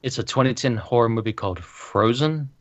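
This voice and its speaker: A man's voice: medium pitch, brisk, highs cut off. A man co-hosting a casual movie podcast, chiming in with dry, teasing remarks. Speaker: podcast co-host. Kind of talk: casual movie discussion with friends.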